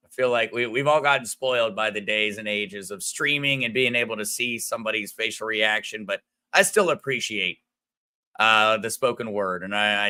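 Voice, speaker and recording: A man with a bright, smooth voice hosts a relaxed livestream. He greets viewers by name in a friendly way and rambles a little between comments. His tone is crisp and clear. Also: lowish voice. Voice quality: smooth radio-like voice. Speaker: crypto livestream host. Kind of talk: casual livestream chat reading viewer comments.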